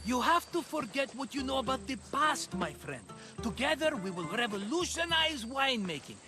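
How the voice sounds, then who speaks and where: An actor playing a scheming, jovial merchant with an effusive, wheedling way of talking in a video game cutscene. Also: male voice, extremely high pitch, very animated, moderate pace, music in the background. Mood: grand, enthusiastic. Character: scheming, jovial merchant turned amateur vineyard owner.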